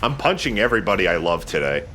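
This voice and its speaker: Bright, forceful low-voiced man commentating gameplay. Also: sing-song, fast talker, slight background noise. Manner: profane, rambling, jokey. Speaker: host of a video game let's play. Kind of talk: casual gameplay commentary with co-commentary banter.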